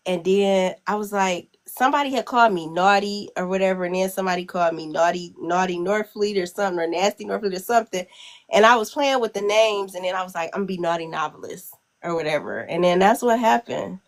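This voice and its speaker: A woman's voice: lowish voice, brisk. A woman author getting over a cold, sounding a bit congested, talking in a rambling, hesitant way with many fillers over a video call. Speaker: guest author. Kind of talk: casual interview chat.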